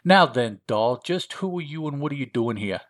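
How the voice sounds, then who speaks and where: A man's voice: mid-range voice, animated, normal speed, dry recording, pitched above the performer's own voice, close to an everyday voice. A man voicing a bullying, boastful jackal-headed dungeon lord with an exaggerated old New York gangster accent. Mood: threatening. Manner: menacing, condescending wisecracks.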